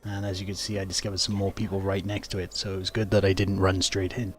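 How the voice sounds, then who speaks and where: A man giving casual, plain-spoken game commentary, explaining his moves in an unhurried way. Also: low-pitched, flat delivery, soft background music. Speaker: gaming video host. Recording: faint background noise.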